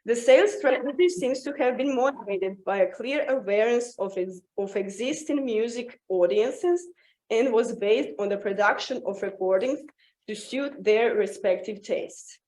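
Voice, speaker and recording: An echoey room surrounds a woman with a fairly high voice. She reads a formal academic paper aloud as a symposium presenter, her delivery halting as she trips over words and starts them again. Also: a little mellow.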